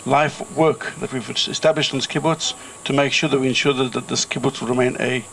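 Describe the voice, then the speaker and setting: A middle-aged South African man tells stories and thanks the crowd in a loose, rambling way, full of ums and restarts. His voice sits at an ordinary pitch, and faint insect and cricket noise hums in the outdoor air behind him. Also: steady tone, brisk, gravelly, fairly bright tone, rough recording. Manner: chatty anecdotal storyteller with filler-laden restarts. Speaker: kibbutz chairman. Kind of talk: speech at an outdoor reunion gathering.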